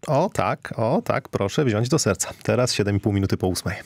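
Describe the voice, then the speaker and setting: A man's voice: lowish voice, expressive, a little fast, vocal fry, a little mellow, no room sound. A man presenting morning radio, reading the date and jokes in a playful, chatty way. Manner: playful, light joking. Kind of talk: casual morning radio chat.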